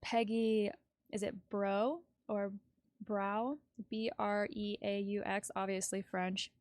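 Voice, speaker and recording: A woman's voice: medium pitch, flat delivery, slow, no room sound, clean studio sound. A woman hosting a casual livestream news commentary, chatty and rambling as she thinks aloud. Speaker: livestream host.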